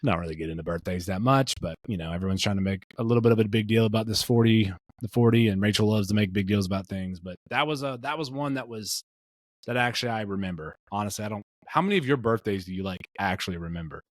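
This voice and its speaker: A man's voice: lowish voice, quick pace, dry recording. A man in his late thirties co-hosting a casual podcast chat, rambling with many fillers and restarts. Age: 30s. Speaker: podcast co-host.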